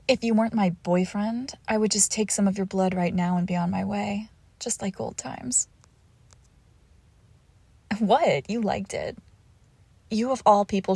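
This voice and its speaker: Breathy, teasing vampire girlfriend acted by a woman. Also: mid-range voice, a little mellow, no echo. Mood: teasing, haughty. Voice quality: breathy, often dropping into whispers. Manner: teasing, haughty, slow and deliberate. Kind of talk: intimate romantic audio roleplay, often whispered.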